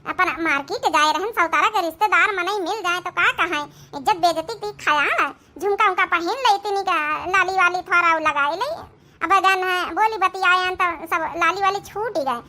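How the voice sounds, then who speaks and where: A woman's voice: very high voice, moderate pace, bright, forceful tone. A woman voicing a vain, stubborn young village daughter-in-law in a comic cartoon, chatty and back-talking, with an Awadhi accent. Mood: insistent.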